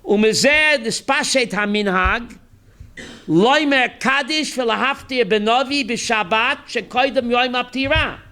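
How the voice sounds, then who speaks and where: High, bright-voiced rabbi quizzing his class. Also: a little slow, clean tone. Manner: reads Hebrew text then translates, quizzing listeners; animated, emphatic rhetorical questions. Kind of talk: Torah class sermon.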